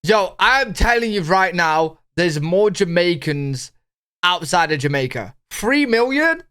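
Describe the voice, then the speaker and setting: A man streaming a casual reaction to a video, excitable and blunt, swearing freely. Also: high voice, unhurried, clear, resonant tone.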